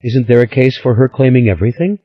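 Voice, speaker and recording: A man's voice: mid-range voice, lively intonation, fast talker, pitched above the performer's own voice, lightly acted. A man voicing a sulky, melodramatic young woman niece in an audiobook. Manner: dramatic, sighing. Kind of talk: audiobook character voice. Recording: slightly dull lo-fi recording.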